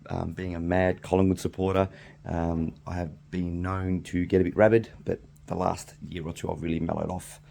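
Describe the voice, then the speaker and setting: A middle-aged Australian man hosting a podcast alone, slightly hoarse from losing his voice, rambling casually with frequent ums and restarts. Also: sits low, little pitch movement, moderate pace, warm tone, slight background noise. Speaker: podcast host. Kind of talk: casual solo chat.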